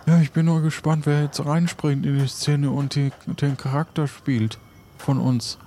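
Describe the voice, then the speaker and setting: A man's voice: a little high, level tone, measured pace, mellow. A man improvising in an audio play, speaking in a hesitant, stammering way. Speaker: player in an improvised adventure. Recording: clear background ambient noise.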